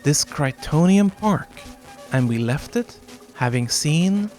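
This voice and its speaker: A man whose first language is Norwegian, hosting a video essay in a measured, wry scripted narration with dramatic pauses. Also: on the high side, animated, a little mellow, faint music behind. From Norway.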